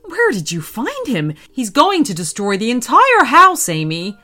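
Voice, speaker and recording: A woman's voice: on the high side, wildly swooping pitch, a little slow, pushed and bright, soft background music, full character voice. A woman voicing a shocked, flustered father in a storybook reading, stammering in alarm.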